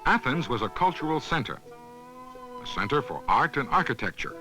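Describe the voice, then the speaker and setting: A man reads scripted documentary narration in a measured, instructional manner, as on a 1970s educational film soundtrack. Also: mid-range voice, big pitch swings, music in the background, low-quality recording.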